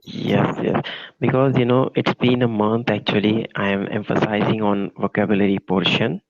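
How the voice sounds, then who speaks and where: A man from India with an Indian accent, learning English in a casual practice conversation, polite and halting with repeated words. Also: fairly low pitch, little pitch movement, no echo. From India, Maharashtra. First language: Ahirani. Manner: polite, halting non-native phrasing with repeats. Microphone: online call. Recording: dull lo-fi call audio.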